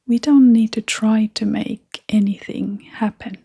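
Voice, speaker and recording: Slow, breathy woman guiding meditation. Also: on the high side, steady tone. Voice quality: soft, breathy, half-whispered. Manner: gentle, unhurried, with long pauses. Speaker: meditation guide. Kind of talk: gentle guided meditation.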